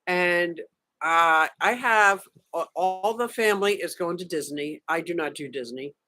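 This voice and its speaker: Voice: medium pitch, unhurried, pushed and bright, no room sound. A livestream host chatting casually with viewers from a vacation room, joking around and greeting people by name. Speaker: livestream host reading chat. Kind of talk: casual live chat.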